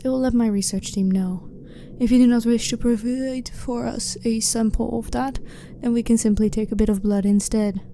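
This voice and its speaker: A woman playing a blunt, clinical but harmless alien scientist in an ASMR sci-fi roleplay, speaking in a formal, measured, matter-of-fact way. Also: on the high side, warm tone, close to an everyday voice. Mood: calm, polite. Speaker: ASMR voice performer. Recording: clearly noisy ambient background.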